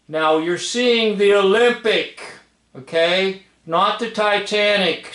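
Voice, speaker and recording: A man's voice: high voice, a bit bright. A man giving off-the-cuff commentary over film footage, emphatic, repeating phrases and checking in with the listener. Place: indoor room, filming a screen. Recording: roomy, echoing home recording.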